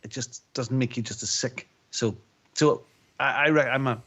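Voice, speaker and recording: A man on a podcast, talking in a casual, rambling way with lots of stops and restarts. His voice sounds chesty from a lingering cough, and his pitch sits at an ordinary middle height. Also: moderate pace, fairly bright tone, breathy edge. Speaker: podcast co-host. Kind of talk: casual chat.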